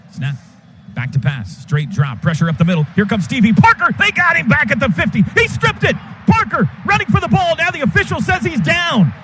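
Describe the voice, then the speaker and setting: A man calling a football game play by play on the radio, in rapid, clipped bursts of action. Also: sits high. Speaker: play-by-play announcer. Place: stadium broadcast booth. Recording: noisy stadium radio broadcast.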